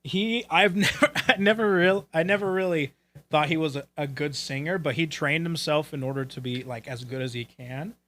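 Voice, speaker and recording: A man from Puerto Rico with a somewhat high voice hosts a talk show as casual chat. He talks in a loose, informal way and swears freely. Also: normal speed, sharp tone. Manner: casual, profane.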